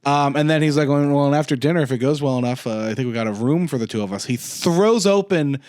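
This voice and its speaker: Fairly high-voiced man recapping a sitcom. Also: a little mellow, no echo, clean studio sound. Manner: recounts scenes with quick quoted bits. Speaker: podcast co-host. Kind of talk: casual chat recapping a sitcom episode.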